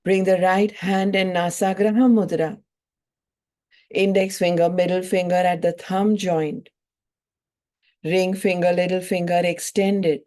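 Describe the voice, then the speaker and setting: Slow, mellow woman guiding meditation. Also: fairly low pitch, flat delivery. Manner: soothing, measured phrases with long pauses. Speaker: yoga and breathwork teacher. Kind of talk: guided meditation instruction.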